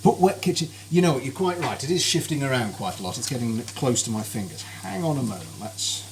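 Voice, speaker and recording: A man's voice: mid-range voice, sing-song, a little fast. A man chatting cheerfully and politely as he cooks on a live stream in his kitchen, greeting viewers by name. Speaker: cooking streamer. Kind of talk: live cooking stream chat. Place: home kitchen. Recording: kitchen noise with sizzling frying.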